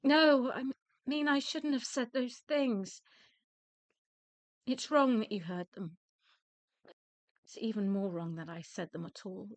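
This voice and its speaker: An actress playing a weary, wounded wife in a radio play, candid and sardonic, hesitant at times and then emphatic. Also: female voice, fairly high pitch, fairly even intonation, measured pace, pauses often, no room sound, natural voice. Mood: apologetic.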